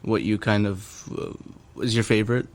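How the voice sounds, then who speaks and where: A man with a somewhat low voice asks interview questions. He is relaxed and a little hesitant, with plenty of ums along the way. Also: normal speed. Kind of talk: casual interview.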